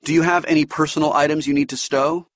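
A man's voice: a little high, steady tone, no echo, clean studio sound, voice pushed up, close to an everyday voice. A man playing a stiff, formal senior science officer. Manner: formal, measured, precise phrasing.

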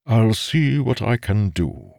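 A man's voice: lowish voice, big pitch swings, slow, vocal fry, a little mellow, dry recording, clean studio sound, voice pushed up, exaggerated voice. A man voicing a weary, earnest soldier in an audiobook story, with a grave and formal manner. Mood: guarded. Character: weary, earnest soldier, frustrated and doubted as madman.

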